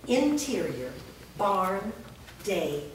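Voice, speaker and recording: A woman's voice: medium pitch, big pitch swings, very slow. Distant, echoey woman narrator. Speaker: narrator reading stage directions. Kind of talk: scripted narration at a staged reading. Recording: echoey room, distant mic, clear background noise.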